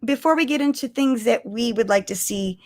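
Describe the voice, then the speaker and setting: A woman co-hosting a casual live-streamed chat about fandom news, talking in an animated, chatty way with exclamations. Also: on the high side, a little fast. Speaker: podcast co-host.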